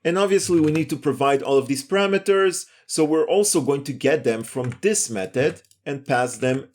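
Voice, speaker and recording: A man's voice: fairly high pitch, moderate pace, darkish tone. A man giving a step-by-step screencast programming lesson in a methodical, explanatory way. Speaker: programming tutorial instructor.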